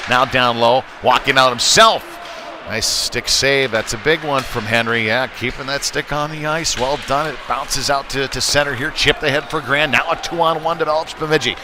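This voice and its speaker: A man doing live hockey play-by-play in halting, off-the-cuff phrases, as the announcer on a game stream. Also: mid-range voice. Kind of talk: live sports commentary. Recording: noisy live rink stream.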